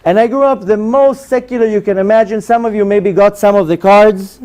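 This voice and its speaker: Casting: Israeli male lecturer, 40s, native Hebrew speaker, high soft-edged voice, informal storyteller addressing an audience. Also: fairly even intonation, brisk. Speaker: religious lecturer, rabbi. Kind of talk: personal testimony lecture to an audience.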